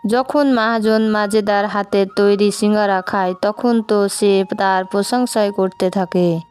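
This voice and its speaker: A woman's voice: medium pitch, steady tone, a little slow, darkish tone, clean tone, soft background music. A woman narrating a scripted moral story for a cartoon.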